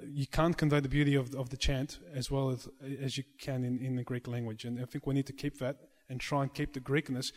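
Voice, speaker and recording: A man who chants in church, speaking in a question-and-answer discussion, earnest and conciliatory, often restarting his sentences. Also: medium pitch. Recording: long reverb of a hall.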